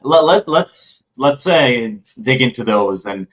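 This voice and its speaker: A man hosting a conversational interview, halting as he forms questions and often repeating words. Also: mid-range voice, lively intonation, fairly bright tone. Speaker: podcast host and interviewer.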